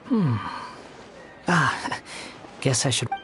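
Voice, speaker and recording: A man's voice: medium pitch, big pitch swings, very slow, background noise. An actor playing a polite, self-effacing young man working as a shop helper in a video game. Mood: earnest. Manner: soft-mannered, polite, earnest. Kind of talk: video game character acting.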